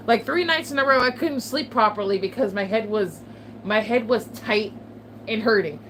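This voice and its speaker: A woman's voice: on the high side, normal speed, rough recording. A woman vlogger chatting casually to her viewers, swearing often and laughing between remarks. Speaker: channel host. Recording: steady background noise.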